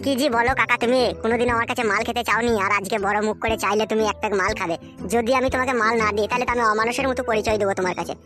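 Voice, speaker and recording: Woman voicing a rapid-fire, brash young cartoon slacker. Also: a little high, fairly even intonation, close to an everyday voice. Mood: wheedling. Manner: rapid, slangy, bossy. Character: brash scheming young male slacker, foul-mouthed cartoon troublemaker. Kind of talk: comic cartoon dialogue. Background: music.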